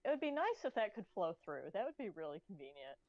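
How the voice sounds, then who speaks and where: Brief: woman player, mid pitch, easygoing, dull lo-fi mic. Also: sing-song, quick pace, darkish tone. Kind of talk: casual chat at a game table.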